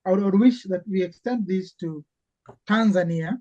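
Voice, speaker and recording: Man, earnest medic guest, halting delivery. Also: high voice, dark tone, highs cut off. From Kenya. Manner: earnest, halting, repeats words as he searches. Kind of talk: casual interview chat.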